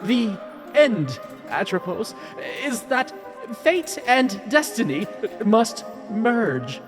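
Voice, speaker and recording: A man's voice: high voice, very animated, extremely slow, heavily acted. A man playing a nervous, hesitant underling of the Fates in an audio drama. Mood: nervous, reluctant. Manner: hesitant, timid. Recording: hall reverb, music underneath.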